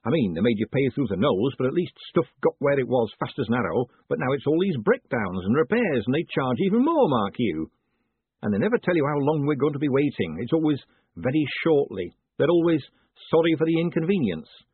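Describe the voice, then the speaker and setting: Guy putting on a fussy folksy little mayor for a storybook, nervous n chatty, swoopy pitch, tinny phone-like audio. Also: sing-song, a little fast, husky, no room sound. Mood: indignant, conspiratorial. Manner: chatty, repetitive folksy phrasing with tag endings. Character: short, bird-like, nervous, folksy, eager small-town male mayor. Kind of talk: audiobook character dialogue. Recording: muffled, thin phone-like sound.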